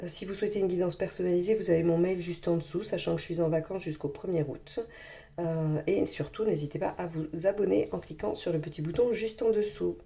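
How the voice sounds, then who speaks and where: Hoarse woman giving a tarot reading. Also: lowish voice, fairly even intonation. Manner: gentle, reassuring, many filler pauses. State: hoarse from a cold. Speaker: tarot reader. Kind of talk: tarot card reading for viewers.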